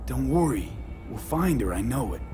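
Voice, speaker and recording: An actor playing a grim but loyal street mercenary in a video game. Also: male voice, mid-range voice, sing-song, moderate pace, dark tone, faint music behind, natural voice. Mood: steady, reassuring. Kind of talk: video game dialogue acting.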